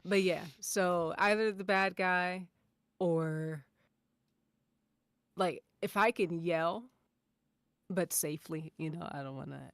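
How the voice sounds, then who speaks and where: A woman's voice: lowish voice, takes it slowly, pauses often, clear, resonant tone, no echo. A woman chatting casually and playfully as a guest on an after-show. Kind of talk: casual chat.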